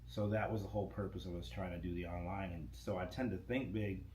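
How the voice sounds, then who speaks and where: Middle-aged guy, lowish voice, loose rambly storytelling, echoey room w faint hum. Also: fast talker. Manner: relaxed, rambling, restarts often. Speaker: podcast guest, radio station owner. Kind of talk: casual interview chat.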